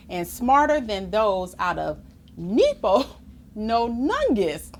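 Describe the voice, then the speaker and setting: A woman reading a rhyming children's book aloud in an expressive, theatrical way, with chatty asides to young listeners. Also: medium pitch, slight background noise. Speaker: storybook reader.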